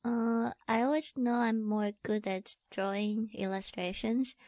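A woman from Taiwan with a Taiwanese accent, chatting informally as an interview guest in halting phrases. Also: fairly high pitch, steady tone, a little mellow, no echo, studio quality. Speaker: interview guest, tattoo artist. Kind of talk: casual chat.

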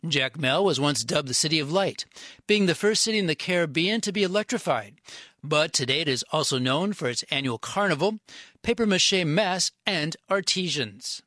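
A man hosts a radio show with a bright, fairly high voice. He reads his scripted lines in a measured way. The sound is dry and close. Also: lively intonation, dry recording.

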